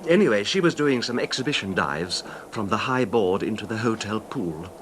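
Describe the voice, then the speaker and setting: An actor playing a courteous, besotted middle-aged rich husband in a 1970s radio drama, speaking haltingly with reflective pauses. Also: male voice, fairly low pitch, expressive, a little hiss or hum, lightly acted. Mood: nostalgic.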